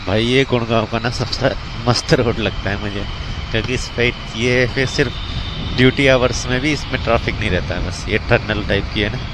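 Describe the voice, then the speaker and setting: Casual North Indian male vlogger, everyday chatty delivery, informal and repetitive, outdoors with light vehicle noise behind. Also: on the low side. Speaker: vlogger and bike taxi rider. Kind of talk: casual chatty daily vlog. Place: outdoors on city roads and worksite.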